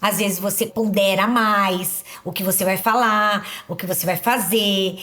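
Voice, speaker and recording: A woman's voice: mid-range voice, a bit bright. Chatty woman in her fifties. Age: 50s. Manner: chatty, frequent fillers like "né". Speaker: podcast host. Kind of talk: casual personal reflection.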